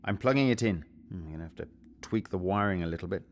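An actor playing a decisive, wry spaceship captain in an audio drama. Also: male voice, sits low, fast. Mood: focused. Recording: faint noise behind the voice.

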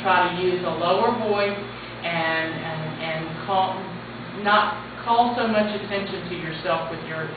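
Loud frank woman in her 40s giving an orientation talk, far off cam, super echoey room. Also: on the low side. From United States. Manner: plain-spoken, frank, gesturing with her hands. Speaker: mission trip orientation leader. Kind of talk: spoken orientation talk to camera. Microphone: camera mic at a distance. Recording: echoey, phone-like, noisy room sound.

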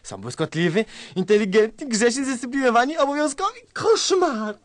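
Man playing an agitated colonel, high swooping voice. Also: sing-song, normal speed, no room sound. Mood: mounting horror. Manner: theatrical, emphatic, repeating words in mock praise. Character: exasperated, agitated male police colonel, sarcastically gushing. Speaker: sketch performer. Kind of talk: satirical radio sketch acting.

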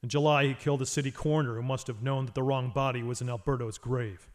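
A man's voice: medium pitch, fairly even intonation, quick pace, close to an everyday voice. Man playing brooding vigilante, terse, grim, demanding, radio noir narration and dialogue. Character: brooding, driven masked vigilante detective.

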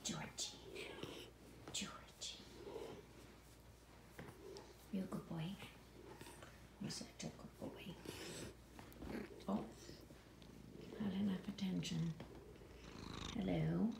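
Woman whispering softly to a pet. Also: lowish voice, fairly even intonation, extremely slow, vocal fry, long pauses, roomy sound. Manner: soft, often whispering. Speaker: pet owner. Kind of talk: casual whispered chat to a pet.

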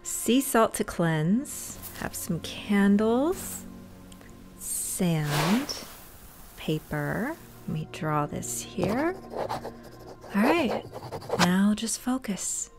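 A woman's voice: mid-range voice, sing-song, drawn-out words, pauses often, music in the background, full character voice. A woman playing a fierce, resentful young witch, speaking an intense inner monologue with bursts of anger. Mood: brooding. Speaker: lead character. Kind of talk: audio drama acting.

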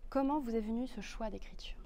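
Formal woman interviewer, mellow tone. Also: fairly high pitch, slight background noise. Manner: measured, formal reading. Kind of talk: read-out interview questions.

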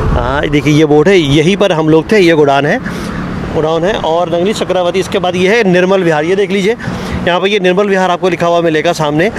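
A man hosting a casual on-location promo walkthrough, chatty and repetitive. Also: fairly high pitch. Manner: chatty, repeats "accha" and "dekhiye" often. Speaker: local news video host. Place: warehouse and roadside outdoors. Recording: noisy street and warehouse background.

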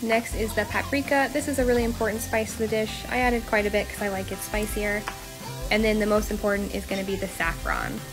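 A young woman hosting her own home cooking show, talking through each step in a relaxed, chatty way with small asides. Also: medium pitch, a little fast, over music. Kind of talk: casual cooking voice-over. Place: home kitchen.

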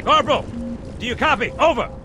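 An actor playing a gruff, bossy, hard-bitten Marine sergeant in a sci-fi game, brash and salty. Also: male voice, very high-pitched, a little fast, noisy background. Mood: angry, urgent. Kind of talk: sci-fi video game acting.

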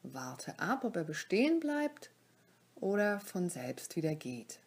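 Slow, soft, breathy woman guiding relaxation. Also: fairly low pitch. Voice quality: soft, breathy, often near-whispering. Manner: calm, soothing, short instructive phrases with pauses. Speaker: yoga nidra teacher leading a guided relaxation. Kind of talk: guided meditation.

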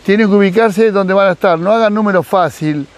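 An animated middle-aged man with an Argentine Spanish accent, his voice sitting high for a man. He talks casually to a camera close by in a sunny garden, gesturing as he goes and acting out quoted dialogue. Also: a little fast. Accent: Argentine Spanish, Mar del Plata. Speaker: fish breeder and YouTuber. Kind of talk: casual advice vlog. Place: outdoors in a sunny garden by a pool. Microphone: camera at close range.

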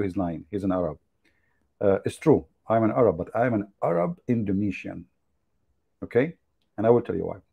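A man hosting a casual livestream, laughing and teasing as he goes. His voice is very dark and husky, with plenty of gravel. It comes through a dull, lo-fi computer mic. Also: lowish voice. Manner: mocking, joking, laughs at his own jokes. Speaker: online religious debate host.

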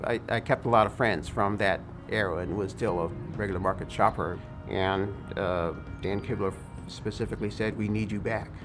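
An older man recollecting in a halting, deliberate way in an outdoor documentary interview. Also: fairly low pitch, steady tone. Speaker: former board president. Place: outdoors in a park, seated. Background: music.

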